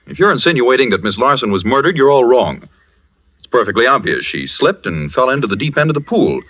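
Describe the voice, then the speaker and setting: A pompous, overbearing rich host in an old radio drama. His mid-pitched voice moves lively up and down as he lays down the law. The vintage radio recording sounds dull and lo-fi. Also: brisk. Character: pompous, smooth wealthy male party host. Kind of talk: 1940s radio acting.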